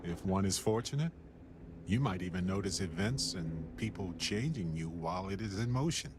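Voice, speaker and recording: A man playing a warm, sincere middle-aged space explorer in a video game, speaking in a measured, wistful way. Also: sits low, expressive, background noise. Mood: earnest. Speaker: video game character actor. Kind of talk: dramatic video game dialogue.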